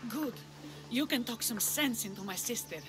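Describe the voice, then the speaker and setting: A woman's voice: a little high, expressive, moderate pace, lightly acted. A woman playing an earnest, cautious leader of an ancient Greek village in a video game cutscene. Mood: insistent. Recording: loud music behind the voice.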